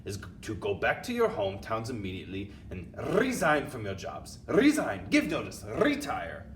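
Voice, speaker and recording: A man's voice: on the low side, wildly swooping pitch, moderate pace, distant mic, a little hiss or hum. Storybook villain: tyrannical shrieking witch queen, a man putting her on, menacing, wildly swinging delivery. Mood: commanding. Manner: thundering, menacing, odd foreign phrasing.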